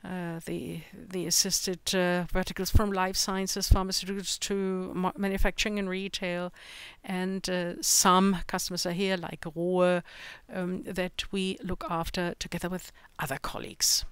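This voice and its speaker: A middle-aged man with an Austrian accent gives a conference presentation in English on a large indoor stage. He speaks haltingly, often searching for words. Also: sits high, flat delivery, fairly bright tone, smooth, dry recording. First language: German. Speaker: company product executive presenting at a conference.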